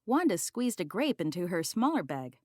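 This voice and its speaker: A woman's voice: on the low side, very animated, moderate pace, a little mellow, dry recording, studio quality. A woman narrating a children's picture book as a read-aloud.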